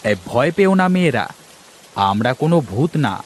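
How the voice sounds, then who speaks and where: A man voicing a friendly, curious talking river frog in a cartoon, husky and coaxing. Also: on the high side, big pitch swings, moderate pace, a little hiss or hum. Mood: reassuring. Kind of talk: Bengali cartoon story acting.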